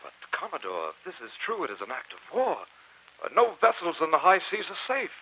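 An actor playing an earnest, formal professor who narrates a sea adventure in old-time radio drama style. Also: male voice, on the high side, wildly swooping pitch, a little fast, sharp tone, breathy edge, exaggerated voice. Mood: astonished, formal. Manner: formal, theatrical, dramatic delivery. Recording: muffled old radio recording, music underneath.

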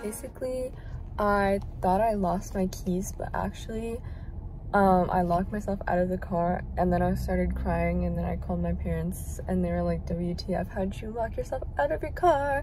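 A young woman chatting casually to her camera from inside a parked car, relaxed and full of ums and likes. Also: fairly low pitch, normal speed, slight background noise, rough recording. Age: young adult. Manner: relaxed, filler-heavy, trailing off. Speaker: vlogger. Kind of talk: casual vlog chat.

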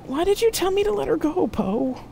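A woman voicing a grief-stricken young male starship captain in a dramatic sci-fi audiobook, over clear background noise. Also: medium pitch, measured pace, a little mellow, pitched above the performer's own voice, lightly acted. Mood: grieving, bitter.

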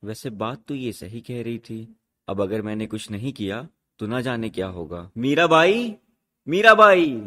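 A man's voice: medium pitch, lively intonation, measured pace, sharp tone. A man playing a courteous, protective royal husband who is torn and troubled, in a radio drama. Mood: worried. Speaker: actor in a student radio drama.

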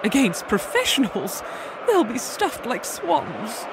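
A woman acting an imperious comic-drama queen. She speaks in a measured, slow but very expressive voice, brisk in temper, with noisy ambience behind. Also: on the high side, full character voice.

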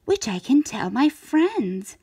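Woman playing a slow-talking, restless little storybook shape. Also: high-pitched, sing-song, mellow, breathy edge, voice pushed up, heavily acted. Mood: eager, pleased. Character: restless, dissatisfied cartoon shape, eager for more.

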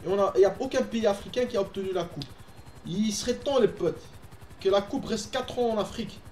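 A young Belgian man who grew up speaking Dutch chats loosely with his stream viewers as he plays, full of fillers and restarts. His voice sits high for a man, and he records at home in an echoey room on a desk mic. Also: brisk, darkish tone, soft background music. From Belgium, Antwerp. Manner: chatty, answers chat, frequent fillers and restarts. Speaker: gaming streamer. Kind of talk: casual live-stream chat while playing.

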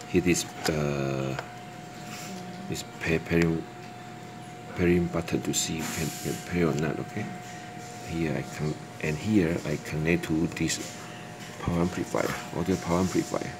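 Deep-voiced man, halting hands-on demonstrator. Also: steady tone, noisy background. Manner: halting, repeats words and phrases; non-native, broken English phrasing. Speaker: presenter demonstrating electronics. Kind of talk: hands-on product demonstration, unscripted. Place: indoors at a desk with audio gear. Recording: music playing behind the voice. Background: music from the demonstrated audio player.

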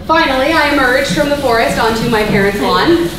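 High-voiced deadpan young woman in an echoey hall, played by an actress. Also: a little fast. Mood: dry, matter-of-fact. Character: deadpan tech-dependent young woman narrating her absurd misadventure. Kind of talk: theatrical comic monologue in a live radio play. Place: live stage in a mid-sized hall with audience. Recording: echoey hall from far off, loud noise.

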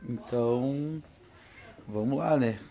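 A man's voice: mid-range voice, slow, creaky, warm tone, a little hiss or hum. Nervous, chatty southern Brazilian man. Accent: southern Brazilian Portuguese. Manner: chatty, nervous, laughs often. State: tired from no sleep. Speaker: paranormal vlogger. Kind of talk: casual selfie vlog. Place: home porch, then inside a car. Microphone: phone held at arm's length.

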